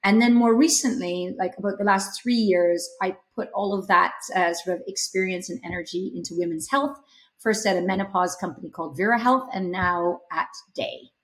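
A woman in her fifties with a Canadian accent speaks as an interview guest. She is conversational and reflective, with an unhurried delivery and some filler words. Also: medium pitch, low-quality recording. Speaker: guest, startup executive.

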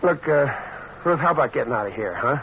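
An American actor playing a smooth-talking, scheming portrait painter with a hard edge in a 1940s radio drama. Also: male voice, medium pitch, animated, a little fast, a bit bright. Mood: smooth, flirtatious. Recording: muffled, noisy old radio recording.

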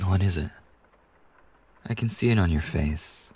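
A man playing a kind, polite young bartender in an ASMR roleplay, speaking softly and breathily in a courteous, soothing way with hesitant pauses. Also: deep voice, exaggerated voice. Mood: reassuring, tender. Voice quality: soft, breathy. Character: kind, polite young male bartender, gently attentive university student. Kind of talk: ASMR roleplay, soft intimate scripted acting.

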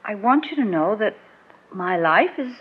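A woman's voice: mid-range voice, sing-song, measured pace, husky. An actress playing a proud, guarded woman resisting an old flame, genteel and a little defensive, in classic radio drama style. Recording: muffled old radio recording.

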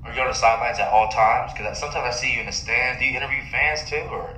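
A man's voice: medium pitch, fast, sharp tone. A man hosting a podcast, asking conversational interview questions. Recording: very echoey room with faint noise.

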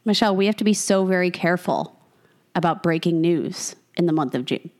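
A woman co-hosting a casual fantasy football podcast, her voice a little raspy from a cold, talking in a chatty, self-mocking way. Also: on the low side, fairly quick, a little mellow. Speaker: podcast co-host.

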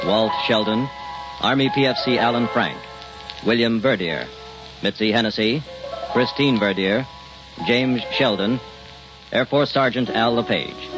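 A man with an American accent reading scripted closing credits as a formal, measured radio announcer. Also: medium pitch. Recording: muffled old radio broadcast. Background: loud music.

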